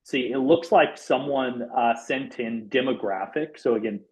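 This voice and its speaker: A former pastor, a man, presenting a church software webinar in an affable way, with lots of "um" and "you know". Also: mid-range voice, measured pace. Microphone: video call. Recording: dull lo-fi webinar audio.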